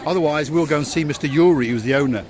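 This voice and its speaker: A man's voice: on the high side, rapid-fire. A man from North Wales with a Welsh accent, hosting a walking vlog and joking with people he meets. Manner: jokey, upbeat, chatty with strangers. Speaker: vlog host. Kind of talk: walking vlog chat, guiding a camera. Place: busy harbour front outdoors at evening. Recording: outdoor crowd chatter behind.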